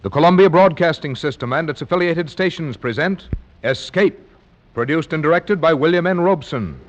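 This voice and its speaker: A man's voice: fairly high pitch, expressive, normal speed. A man making a formal network announcement for a radio program. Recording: muffled old radio broadcast.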